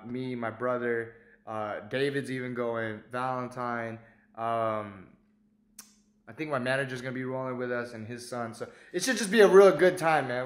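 A man's voice: mid-range voice, roomy sound. A young adult man with an American accent chatting casually to the camera in slangy, informal vlog style. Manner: slangy, informal, often saying bro and man. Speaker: video blogger. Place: indoors, in an apartment, car and parking garage.

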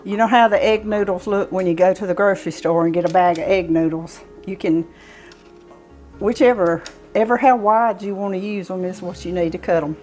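A woman's voice: mid-range voice, fairly quick, a little mellow, soft background music. A woman in her sixties with a Southern US accent, giving an informal, folksy cooking demonstration as she works in a home kitchen. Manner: folksy, explaining step by step while working. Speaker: home cooking show host. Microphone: clip-on lapel mic.

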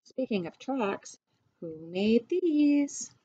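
Unhurried woman reading a picture book aloud. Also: on the high side, very animated, warm tone, husky. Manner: explanatory, pointing things out to children. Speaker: read-aloud teacher. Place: small room at home. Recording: dull lo-fi webcam sound.

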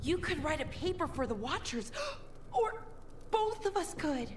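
A woman's voice: very high-pitched, big pitch swings, a little slow, slight background noise, heavily acted. A woman voicing a keen, inquisitive, bookish young woman in a video game. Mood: eager, curious. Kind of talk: video game dialogue acting.

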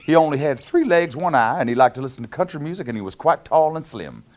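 A man's voice: on the high side, expressive, fast talker, close to an everyday voice. A middle-aged man playing a jolly Canadian lumberjack, reading a picture book to children with playful, exaggerated sing-song rhythms and chuckly asides. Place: small indoor cabin-style set.

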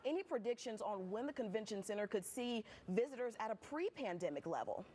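A woman reading scripted news from a TV studio as the anchor, polished and clear on a lapel mic. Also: on the high side, lively intonation, brisk, warm tone, slight background noise.

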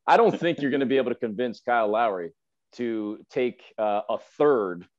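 A man's voice: medium pitch, lively intonation, moderate pace, a little mellow, no room sound. A man, debating sports emphatically as a guest, repeating phrases, over dull video-call audio. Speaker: radio play-by-play announcer, podcast guest. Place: room at home on video call.

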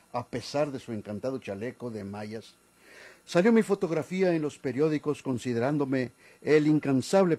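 A man's voice: medium pitch, mellow, lightly acted. An older Mexican man acting in a golden-age radio drama as a proud retired policeman, formal and deferential yet stubbornly insistent. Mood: wounded pride. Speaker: actor.

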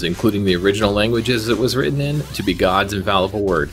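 Low male host, methodical scripted delivery, faint background music. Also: fairly bright tone. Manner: careful, methodical, reads scripture aloud. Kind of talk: measured scripted commentary.

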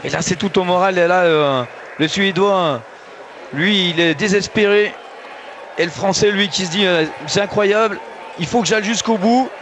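A man's voice: sits high, normal speed. A man as expert co-commentator on a live ski race, gushing and explaining the tactics. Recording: live broadcast with loud crowd noise. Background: loud cheering crowd.

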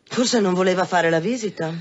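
An actress playing a curt, defiant brothel madam in an Italian radio drama, terse and evasive. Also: female voice, fairly low pitch, a little fast, lightly acted. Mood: guarded, defiant. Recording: dull sound with faint background noise.

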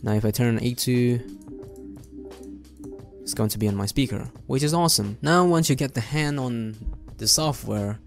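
A young adult man hosting an informal software tutorial, explaining things step by step in a casual way. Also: medium pitch, moderate pace, vocal fry, faint music behind. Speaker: tutorial host. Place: indoor room at a desk.

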